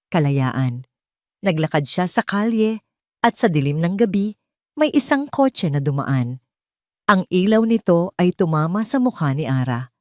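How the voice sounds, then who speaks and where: A woman's voice: medium pitch, darkish tone, no room sound, clean studio sound. A woman reading a scripted dramatic story as its narrator.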